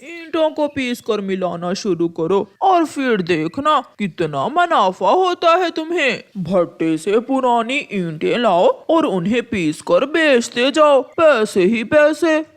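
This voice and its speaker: A woman's voice: fairly high pitch, sing-song. A woman puts on a cunning old-hand crow uncle for a cartoon. He stretches his words slyly and swings up and down with crooked glee. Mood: sly, gleeful. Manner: slow, drawn-out, sly. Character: cunning crow uncle, gleefully dishonest adviser.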